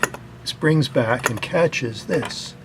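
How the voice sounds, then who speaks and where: A man with a gravelly voice plainly and methodically narrating a hands-on repair as the host of a hobby video. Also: mid-range voice, drawn-out words, background noise.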